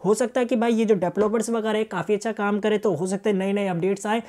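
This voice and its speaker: A man's voice: high voice, fairly even intonation, fast talker, a little mellow. A young man giving a casual phone feature walkthrough as a tech reviewer, chatting to viewers in a chummy, colloquial way.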